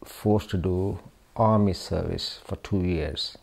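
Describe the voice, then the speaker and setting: A middle-aged man from Pakistan, a surgeon speaking hesitantly and reflectively with many pauses in a documentary interview. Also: sits low, steady tone, gravelly, darkish tone. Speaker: hand surgeon.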